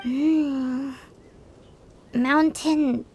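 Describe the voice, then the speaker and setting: A woman voicing a tiny, childlike, excitable fairy companion in a video game, squeaky and blunt. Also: drawn-out words, faint music behind, full character voice. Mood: curious. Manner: refers to self in third person, exclamatory.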